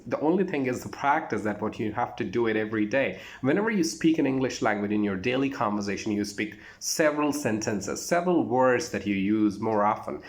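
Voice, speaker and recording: A man with an Indian accent giving an informal spoken lesson as a voice trainer, instructive and repetitive, with emphatic phrasing. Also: mid-range voice.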